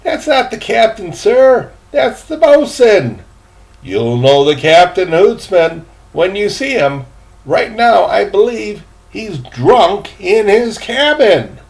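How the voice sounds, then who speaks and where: Grandpa-age man doing a young boy in a storybook, voice pushed up high, eager and blunt, kinda wry. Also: big pitch swings, slow, gravelly, heavily acted. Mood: amused, eager. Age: older. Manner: plain-spoken, eager, wry. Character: young boy, frank, wry ship passenger.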